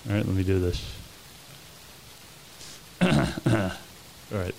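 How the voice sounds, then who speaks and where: A young man co-hosting a casual podcast, joking around and reciting a movie monologue slowly. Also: low-pitched, expressive, gravelly, rough recording. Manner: laid-back, joking, slow dramatic recitation. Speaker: podcast co-host. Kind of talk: casual chat.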